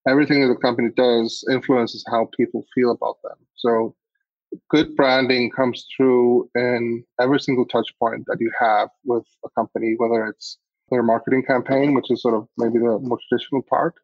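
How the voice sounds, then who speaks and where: A man's voice: medium pitch, level tone, moderate pace, dry recording, lo-fi sound. A man from Iceland answering interview questions as a guest, picking his words hesitantly and thoughtfully. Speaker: podcast guest, agency CEO.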